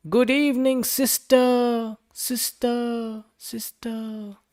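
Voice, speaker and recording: A crafty female storybook duck, played by a man, pitched high. She coaxes sweetly in a friendly sing-song. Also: little pitch movement, extremely slow, darkish tone, clear, resonant tone, heavily acted. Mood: calling out.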